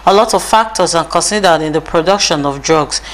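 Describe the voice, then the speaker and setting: A woman narrating a scripted corporate feature in a smooth promotional read. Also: lowish voice, fairly quick.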